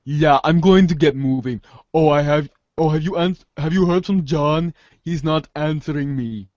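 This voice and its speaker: A man's voice: on the high side, normal speed, darkish tone, no echo, dull audio, natural voice. A man playing a too-cool, rambling teenage slacker who is uneasy underneath, casual and sweary, in a fan theater reading. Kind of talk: read-along fan theater.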